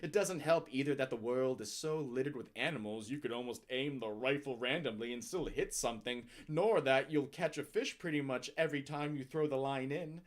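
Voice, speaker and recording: A man giving a dramatic, grandiose reading of scripted text, with emphatic swells and drops in his delivery. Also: mid-range voice. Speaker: narrator reading out a user review.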